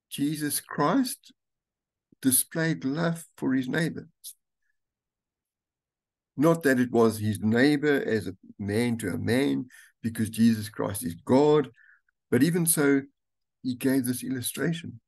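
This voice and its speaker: An older man, a pastor, reading scripture aloud and preaching a sermon slowly and deliberately from a room at home. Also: mid-range voice, vocal fry, darkish tone. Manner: slow, deliberate reading with stretched words. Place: home room with curtains, indoors.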